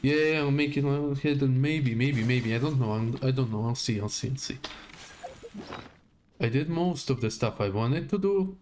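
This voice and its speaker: A man's voice: mid-range voice, moderate pace, darkish tone, slight background noise. A man giving casual live gameplay commentary, muttering to himself in short fragments. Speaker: game livestreamer.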